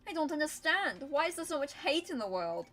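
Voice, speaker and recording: A woman voicing a brash teenage girl prankster who mocks loudly and melodramatically. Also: high-pitched, very animated, brisk, voice pushed up. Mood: melodramatic. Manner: loud, mocking, melodramatic.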